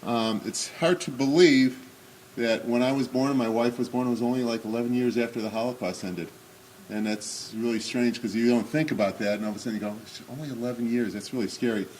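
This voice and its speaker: A man in his sixties with a booming voice, giving an unscripted talk at a remembrance service with many pauses and fillers, then reading memoir excerpts aloud. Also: darkish tone, slight background noise, rough recording. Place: synagogue hall. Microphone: speaking without a microphone.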